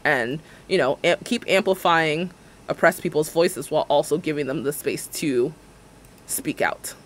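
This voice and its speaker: A man in his twenties talks on stream in a slightly higher register. He vents at length, dropping into mocking impressions of what others said. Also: a little slow. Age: 20s. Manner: ranting, animated, sarcastic, mimics others' words. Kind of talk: casual livestream chat while building in a game.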